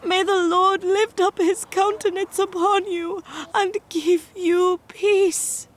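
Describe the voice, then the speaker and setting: A woman's voice: very high-pitched, level tone, takes it slowly. A woman playing a devout young mother in an audio drama, speaking with tender, reverent emotion. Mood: reverent blessing.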